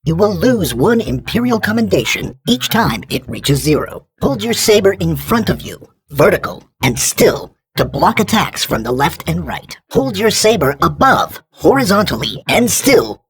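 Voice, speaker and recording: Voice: medium pitch, gravelly, full character voice, rough recording. An actor voicing an emotionless training droid in a video game, giving formal, clipped combat instructions through robotic processing. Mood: instructive. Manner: formal, procedural, deadpan announcements. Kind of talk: scripted video game voice lines.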